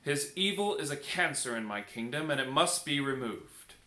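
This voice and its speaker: A man voicing a noble, solemn and merciful king in a storybook, speaking in a measured, declamatory way. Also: medium pitch, lively intonation, bright, forceful tone. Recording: echoey room.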